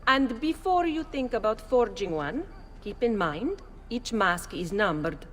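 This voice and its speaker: A shrewd, knowing madam in a video game cutscene, laying out plans in a calm, persuasive way. Her voice has a long reverb and a faint noise behind it. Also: a little high, measured pace. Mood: scheming.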